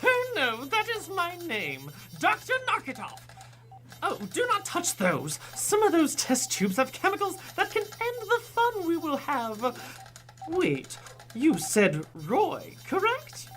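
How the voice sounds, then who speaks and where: A giddy, fiendish mad scientist acted by a woman from a comic radio play, pitched extremely high with wild melodic swings. The delivery is slow, bright and theatrical, cloying and scheming in stiffly formal phrases. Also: huge pitch swings, faint music behind, heavily acted. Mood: fussy, eager.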